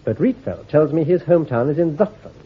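An actor playing a shrewd army intelligence commander in a radio drama, issuing careful orders in a clipped, authoritative way. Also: male voice, a little high, lively intonation, brisk, breathy edge. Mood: commanding. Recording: tinny, phone-like old recording.

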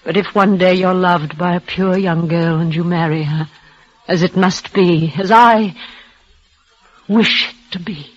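An actress playing a frail, dying young woman, tender and devoted, speaking in a breathless, halting way with soft repeats. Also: mid-range voice, husky. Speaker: lead actress. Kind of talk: 1930s radio drama acting. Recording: muffled old radio broadcast.

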